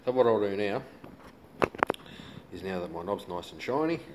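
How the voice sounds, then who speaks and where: A man's voice: on the low side, animated, moderate pace. An Australian man giving a casual, laid-back hands-on how-to commentary in colloquial language. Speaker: hobbyist demonstrator. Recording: noisy handheld home video.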